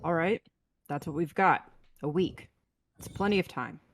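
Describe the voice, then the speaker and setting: A man's voice: a little high, normal speed, fairly bright tone, slight background noise. A man playing a terse, weary spaceship captain in a sci-fi script table read. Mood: decisive.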